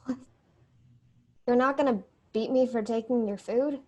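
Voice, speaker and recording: A woman's voice: a little high, a little slow, a little mellow, lo-fi sound. A woman playing a wary, earnest young refugee in a staged play reading, hesitant and polite. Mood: bewildered, suspicious. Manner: hesitant, polite Southern phrasing.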